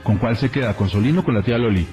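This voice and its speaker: A Colombian man hosts a radio interview in a conversational way. His voice sits at an average pitch, with faint music underneath. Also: fast talker, a little mellow.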